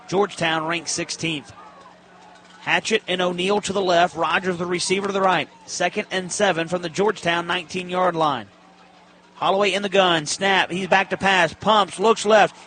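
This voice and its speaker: Bright, high-voiced male football play-by-play announcer. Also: slight background noise. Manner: clipped present-tense calls in short bursts. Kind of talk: college football radio commentary.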